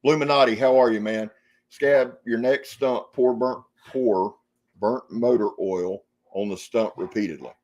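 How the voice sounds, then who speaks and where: A man's voice: medium pitch, fairly even intonation, a little slow, darkish tone. A man casually chatting on a live stream, reading out viewer comments and greeting people by name in a rambling, folksy way. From Jacksonville, Florida area. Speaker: outdoor channel host on a live stream.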